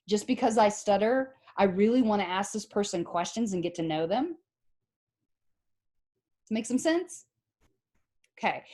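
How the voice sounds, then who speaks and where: A middle-aged woman gives a psychology lecture over a video call. Her voice sits at an ordinary middle pitch. She explains with everyday examples and keeps checking that her students follow. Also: a little mellow. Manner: conversational, explains with examples and rhetorical questions. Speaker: psychology professor. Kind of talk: online lecture.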